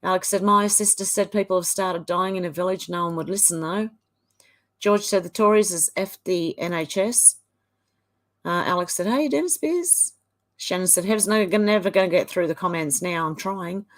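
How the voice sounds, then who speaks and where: Lower-voiced woman reading chat. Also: moderate pace. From Australia. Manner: chatty, reads comments in a flat run with small fillers. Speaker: livestream host. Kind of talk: casual live-stream chat, reading viewer comments aloud.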